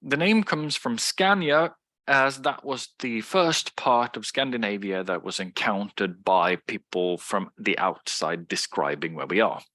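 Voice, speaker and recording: A man's voice: lowish voice, unhurried, sharp tone, no room sound. A man who is a native Swedish speaker, giving an explanatory lecture with frequent fillers and restarts. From Sweden. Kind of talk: online lecture.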